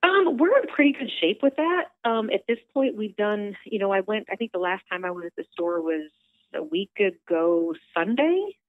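A woman with a low voice, talking chattily on a phone call into a radio show, upbeat, with lots of fillers. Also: very animated, normal speed, no room sound. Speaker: theater director calling in. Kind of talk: casual phone interview. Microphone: telephone. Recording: tinny phone line.

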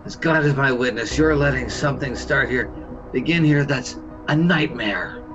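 A man's voice: mid-range voice, moderate pace, close to an everyday voice. An actor playing an accused suburban father in a radio play, pleading, exasperated and frightened, repeating himself emphatically. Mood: outraged, desperate. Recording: dull lo-fi sound, faint music behind.

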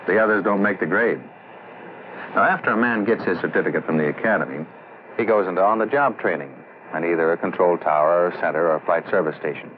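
A man's voice: sits low, fast, close to an everyday voice. A man playing a seasoned air traffic controller, explaining his job matter-of-factly in a 1960s educational film. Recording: muffled old film soundtrack, faint hiss.

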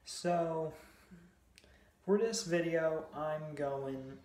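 A young man with a sore, hoarse throat chats casually to a phone camera in a hotel room, hesitant and full of fillers. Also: a little high, a little mellow. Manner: hesitant, many fillers and restarts, slow. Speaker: video host. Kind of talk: casual vlog chat. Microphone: phone camera at arm's length. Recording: echoey small room.

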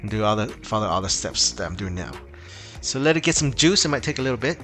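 A man's voice: lowish voice, big pitch swings, fast talker, fairly bright tone, soft background music. A man casually walking viewers through a phone repair tutorial, loose and rambling with frequent restarts. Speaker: tech tutorial host. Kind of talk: casual screen-recorded tutorial.

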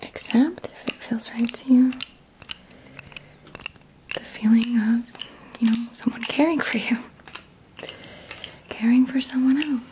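A woman in her thirties leading a guided ASMR session in a gentle, hushed, often whispered voice with short pausing phrases. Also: fairly high pitch, fairly even intonation, low-quality recording. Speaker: ASMR Reiki practitioner and host. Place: small indoor room. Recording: clear background noise with small clicks.

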